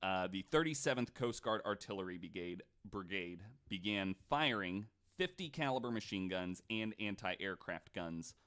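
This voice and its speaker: A man with a low voice hosts a casual podcast. He tells a story conversationally, in loose, informal phrasing full of "like", as if among friends. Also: animated, normal speed, few pauses, fairly bright tone, clean tone. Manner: informal, hedging, frequent "like". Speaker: podcast host telling a true story.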